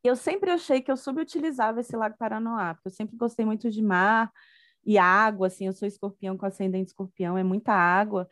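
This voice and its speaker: A woman's voice: mid-range voice, brisk, fairly bright tone, no echo, clean studio sound. A middle-aged woman from Bahia with a Brazilian accent, chatting casually as an interview guest. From Salvador, Bahia, Brazil. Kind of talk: casual chat.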